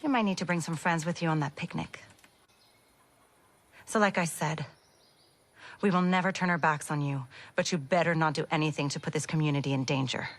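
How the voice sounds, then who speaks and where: A woman's voice: sits low, fairly even intonation, brisk, long pauses, close to an everyday voice. An actress playing a blunt, protective woman who leads a community, in a video game cutscene. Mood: firm, wary. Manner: blunt, firm.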